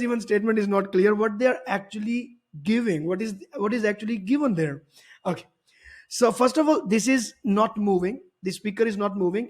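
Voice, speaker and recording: High-pitched male physics tutor. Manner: explanatory, repeats and rephrases points. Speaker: physics teacher. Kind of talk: worked-problem tutorial.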